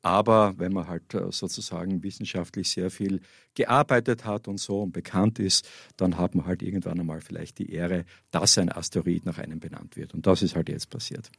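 An Austrian man speaks as an academic interview guest, explaining things. His voice is low and husky with a creaky edge, picked up dry in a studio. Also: fairly even intonation, moderate pace, no room sound. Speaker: interview guest, astrophysics professor. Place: small radio studio at a school. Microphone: studio microphone.

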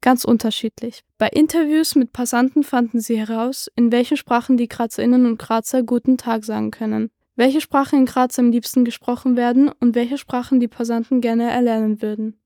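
A woman with a fairly high voice reads a scripted feature, turning to speak straight to the listeners. The sound is close and dry. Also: fairly even intonation, fairly quick, few pauses, mellow, dry recording. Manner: clear, addressing listeners. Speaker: presenter. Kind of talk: scripted radio narration.

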